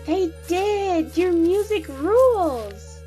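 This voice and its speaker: Woman voicing an excitable high-pitched monster kid. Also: extremely slow, soft background music, full character voice. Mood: friendly, encouraging. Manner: enthusiastic, exclamatory, slangy. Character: excitable young monster kid, eager and friendly. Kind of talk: audio drama acting.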